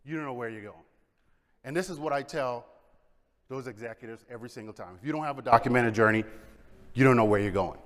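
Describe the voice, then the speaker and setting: A middle-aged man from the Bahamas, giving an energetic conference presentation and asking the audience questions. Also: medium pitch, quick pace, pauses often. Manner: energetic, engages audience with questions and shows of hands. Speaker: conference speaker. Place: large conference hall. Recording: long hall reverb.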